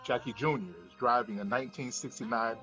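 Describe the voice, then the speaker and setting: A man's voice: mid-range voice, fairly quick, pushed and bright, muffled recording, faint music behind. Male narrator reading screenplay stage directions.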